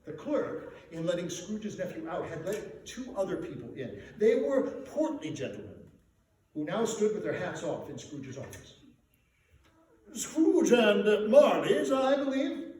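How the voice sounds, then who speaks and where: A man's voice: a little high, big pitch swings, moderate pace, mellow. A man narrating as a storyteller in a dramatic reading of literary fiction. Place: echoing room at a live reading. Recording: very echoey room, distant mic, faint noise.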